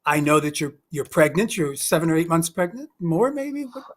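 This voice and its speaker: A man's voice: on the high side, very animated, moderate pace. A man hosting an interview over a video call, enthusiastic and complimentary, asking long rambling questions. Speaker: host. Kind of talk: casual interview chat.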